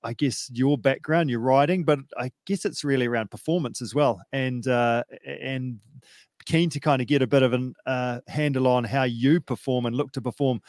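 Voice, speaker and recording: A man of middle pitch, hosting a podcast in a loose, friendly chat. He strings his thoughts together with plenty of fillers and restarts. The voice sounds close and dry. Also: a little mellow, no echo. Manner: chatty, loose, filler-heavy. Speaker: podcast host.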